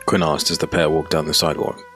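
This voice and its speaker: A man narrating a scripted dark fantasy audiobook as a storyteller. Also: very deep voice, flat delivery, fast talker, faint music behind.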